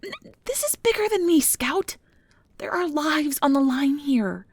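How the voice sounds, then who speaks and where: A woman playing a nervous, earnest, principled field researcher of magical creatures in an ASMR audio drama, soft and hesitant with stammers, close to the mic. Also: sits high, sing-song, measured pace, full character voice. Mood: upset, impassioned. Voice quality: breathy, soft. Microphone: close mic, dry recording.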